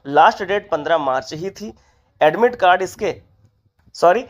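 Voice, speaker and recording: A presenter giving an informational explainer, methodically reading out details and figures. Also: medium pitch. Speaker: exam update presenter.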